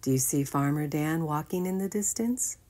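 An older woman giving an expressive read-aloud storytime for young children, in a deliberate, animated manner. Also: low-pitched. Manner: deliberate, animated, addresses the listeners with questions.

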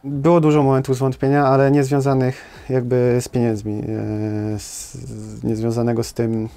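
Footballer guest in his 30s, hesitant, mellow low timbre, small studio. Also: little pitch movement, moderate pace, vocal fry. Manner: hesitant, many fillers and tag questions. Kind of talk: casual interview answers. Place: small studio with brick wall.

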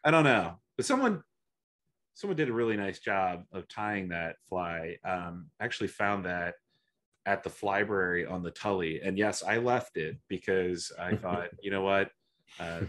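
A man's voice: deep voice, normal speed, a bit bright. A man giving an online slide presentation over a video call, talking hesitantly with many fillers and restarts. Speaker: guest presenter.

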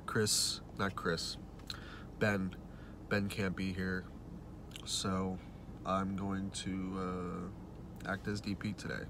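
A man's voice: low-pitched, noisy background. A young man with a cold talks casually to a phone camera in a parked car, offhand and joking about his film shoot. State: stuffy nose from a cold. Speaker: film director. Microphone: phone held at arm's length.

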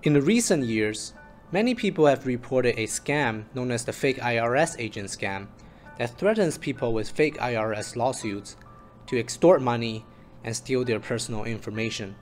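A man's voice: mid-range voice, a little mellow. Man presenting research over background music. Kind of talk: scripted narration, explainer presentation. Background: instrumental music.

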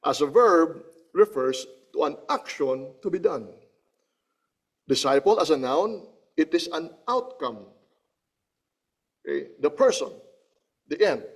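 A man preaching a sermon, teaching slowly from slides, repeating phrases and often checking with "Okay?" and clearing his throat between points. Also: on the high side, expressive. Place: church.

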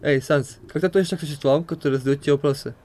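A man's voice: a little high, very fast, darkish tone. A man reading questions and explanations aloud as narrator of an amateur comic dub.

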